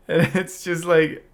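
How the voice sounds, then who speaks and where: A man's voice: a little high, a bit bright. A young adult man co-hosting a podcast, talking in a casual, reflective way with many restarts. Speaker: podcast co-host. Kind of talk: casual chat.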